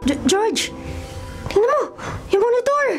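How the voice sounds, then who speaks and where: A nervous, eager little boy with an extremely high voice. He sounds like a childlike sidekick in a radio play, with music underneath. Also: big pitch swings, measured pace, exaggerated voice. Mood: eager, tense. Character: young boy, nervous but resourceful sidekick friend. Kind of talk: radio drama acting.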